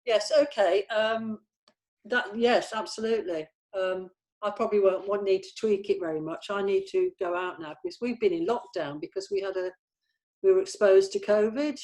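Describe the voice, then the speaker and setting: A middle-aged woman chatting casually as a producer in a planning meeting, agreeable and a little halting. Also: mid-range voice, a little mellow.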